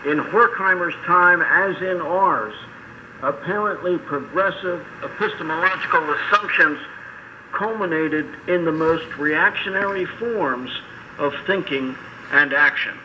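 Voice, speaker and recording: An older man reading a formal scripted academic lecture in measured, short phrases, heard over shortwave radio. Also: fairly high pitch, pushed and bright, dull audio. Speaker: academic speaker. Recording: noisy shortwave radio broadcast.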